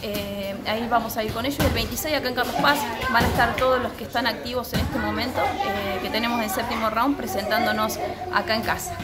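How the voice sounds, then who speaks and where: Woman cordoba, boxing coach getting interviewed, highish voice, loud music in the gym behind her. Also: steady tone, brisk, a loud crowd. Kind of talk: casual on-camera interview. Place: indoor martial arts gym with children training. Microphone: handheld reporter microphone.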